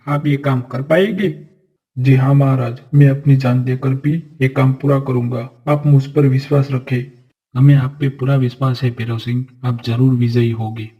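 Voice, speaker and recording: Husky, earnest royal courtier played by a man, muffled. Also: mid-range voice, close to an everyday voice. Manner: formal, solemn, earnest. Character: noble, earnest men of a royal fairy-tale court. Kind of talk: Hindi animated fairy-tale acting.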